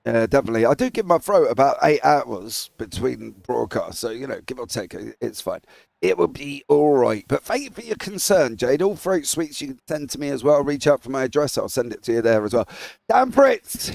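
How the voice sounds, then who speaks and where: A hoarse English man commentating a sim race with a raspy, husky voice, getting loud and excitable as the action starts. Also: medium pitch, a little fast, no room sound. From England. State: hoarse from broadcasting.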